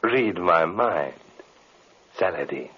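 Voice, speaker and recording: A dull vintage radio horror recording of a merciless evil magician. His voice is low and husky, deliberate and gloating, made for giving menacing orders. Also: animated, heavily acted. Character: gloating, merciless male villain magician commanding the dead. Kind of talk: 1930s radio horror acting.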